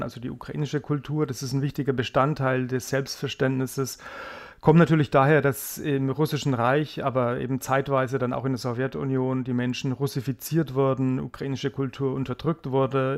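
A man in the middle of the usual pitch range, speaking as a correspondent in a radio interview. He explains at length and pauses often with hesitation sounds. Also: gravelly, darkish tone. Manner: explanatory, many fillers. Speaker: foreign correspondent.

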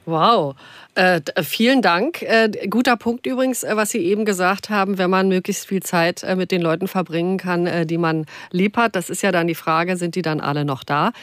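A woman's voice: on the low side, fairly quick, dry recording. A woman in her late fifties hosting a live discussion show on a studio microphone. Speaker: radio talk show host. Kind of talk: live phone-in discussion moderation. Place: radio studio. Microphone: studio broadcast microphone.

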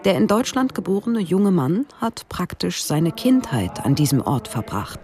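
Lively female documentary narrator. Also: fairly low pitch, measured pace, a crowd in the background.

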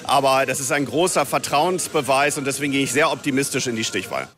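A man, a mayor, speaking confidently but a little haltingly in a soundbite recorded outdoors. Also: medium pitch, fairly bright tone, soft background music. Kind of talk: interview soundbite.

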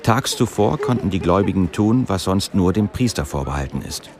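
A man's voice: low voice, animated, brisk, a crowd in the background. A man reading scripted narration for a radio documentary.

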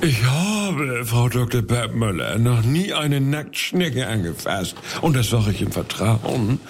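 A fussy rambling group member. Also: male voice, medium pitch, big pitch swings, takes it slowly, creaky, slight background noise, heavily acted. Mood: earnest. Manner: earnest, rambling, fixated. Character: fussy man in a coaching group. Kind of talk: radio comedy sketch.

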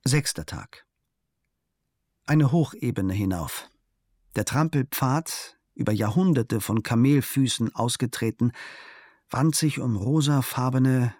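A man calmly reading a travel diary aloud in a measured storytelling manner, recorded close to a studio mic. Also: mid-range voice, dry recording. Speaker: narrator.

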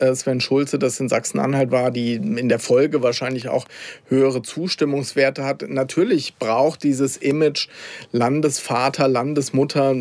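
A man giving measured political analysis on a radio talk show, with occasional filler sounds. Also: mid-range voice, darkish tone, dry recording.

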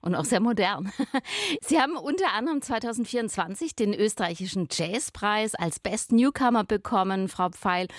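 A woman radio presenter announcing music and interviewing a guest, asking friendly, lively questions from a studio. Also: medium pitch, no room sound. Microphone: studio microphone.

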